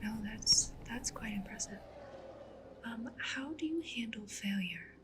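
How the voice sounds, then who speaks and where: Whispering woman, gentle ASMR roleplay interviewer. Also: fairly high pitch, lively intonation. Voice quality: breathy, mostly whispered. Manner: gentle, unhurried, attentive questioning. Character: polite, attentive female cyberpunk matchmaker interviewing a client. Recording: clear ambient noise behind voice. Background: sci-fi ambience, writing sounds.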